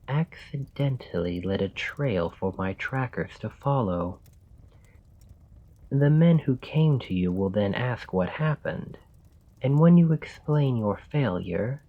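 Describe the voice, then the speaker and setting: A man playing a calm, calculating royal in an ASMR roleplay, speaking in a measured, formal and deliberate way. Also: medium pitch, narrow, tinny audio. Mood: calculating, persuasive. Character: calm, calculating male royal bargaining with his assassin. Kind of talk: ASMR roleplay, scripted dramatic monologue.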